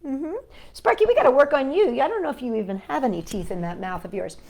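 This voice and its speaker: A woman hosting a children's storytime, reading aloud and chatting in a playful, expressive way and putting questions to her young listeners. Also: high-pitched, quick pace, gravelly. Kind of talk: animated read-aloud and chat for young children.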